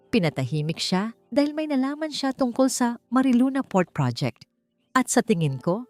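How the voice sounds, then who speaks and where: A woman's voice: mid-range voice, warm tone, pitched above the performer's own voice, natural voice. Woman reading as a determined, earnest investigator. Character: determined, direct investigator seeking justice.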